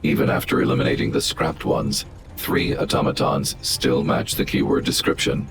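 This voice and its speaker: Very deep, gravelly guardian robot. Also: male voice, noisy background. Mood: analytical, formal. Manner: formal, analytical, measured, stating probabilities. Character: large stern protective guardian robot, male, coldly logical. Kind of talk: video game character dialogue. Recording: game music behind the voice. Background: game music.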